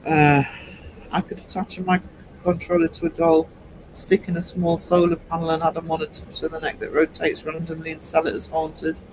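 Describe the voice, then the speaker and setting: A man's voice: on the high side, flat delivery, moderate pace, noisy background. Chatty man in his sixties. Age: 60s. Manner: chatty, teasing, laughs often. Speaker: livestream host. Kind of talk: casual chat reading viewer comments.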